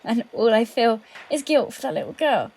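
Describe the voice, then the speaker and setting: An actress playing a guilt-ridden, vengeful police colleague hiding a crime, emotional and halting, in an audio drama. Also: female voice, fairly high pitch, sing-song, darkish tone, a little hiss or hum. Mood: bitter, vengeful.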